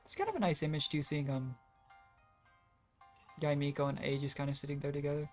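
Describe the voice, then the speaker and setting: A woman's voice: low-pitched, fairly even intonation, a little fast. A woman streamer giving casual live game commentary, chatty and thinking aloud as she goes. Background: faint game music.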